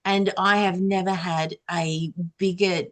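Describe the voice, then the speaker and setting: A woman podcaster chatting casually on a panel, enthusiastic and quick to giggle as she talks. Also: on the low side, flat delivery. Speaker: audio drama podcaster, former radio worker.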